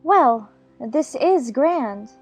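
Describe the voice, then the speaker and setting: A woman's voice: high voice, expressive, very slow, a little mellow, husky, soft background music, full character voice. A woman playing a prim, earnest, argumentative young girl in a dramatized storybook. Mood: self-scolding.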